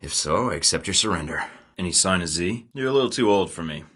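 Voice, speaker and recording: A cocky secret agent, deep and husky. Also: male voice, lively intonation, quick pace, a bit bright, exaggerated voice. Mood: flirty. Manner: dry sarcastic quips. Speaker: video game protagonist voice. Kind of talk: game voice lines.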